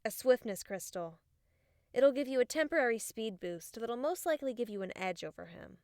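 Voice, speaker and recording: A woman's voice: on the high side, animated, moderate pace, darkish tone, no echo, clean studio sound. A woman playing a serious, strategic young female fighter in an audiobook, explaining things matter-of-factly. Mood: firm, instructive. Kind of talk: audiobook character acting.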